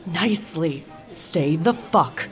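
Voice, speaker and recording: A blunt, sardonic ex-wife. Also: female voice, on the low side, expressive, takes it slowly, faint music behind. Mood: exasperated, firm. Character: tough, exasperated middle-aged ex-wife, rival treasure hunter. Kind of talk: naturalistic audio drama acting.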